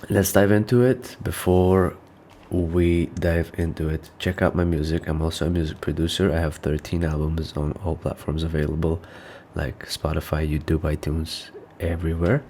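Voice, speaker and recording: A man's voice: extremely low pitch, level tone, normal speed, hardly any pauses, dark tone, slight background noise. A man hosting a casual reaction video, nasal and stuffed up from allergies, rambling as he thinks aloud. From North Macedonia.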